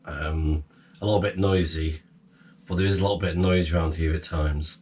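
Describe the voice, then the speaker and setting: A middle-aged man chatting casually and informally to camera, explaining things in a halting way. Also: deep voice, fairly even intonation, a little mellow. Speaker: vlogger, amateur radio hobbyist. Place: small wooden cabin, indoors. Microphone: camera a few metres away. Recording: distant camera mic, noisy room.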